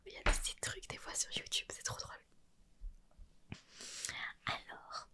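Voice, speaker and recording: A young woman whispering through an ASMR roleplay, reading a retro gaming magazine aloud and adding chatty asides and self-corrections. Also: unhurried. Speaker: ASMR creator.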